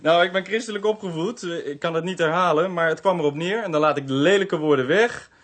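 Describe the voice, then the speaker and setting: A man's voice: a little high, brisk, a bit bright. An actor playing a cheeky male police station colleague in a radio drama, jovial and mocking.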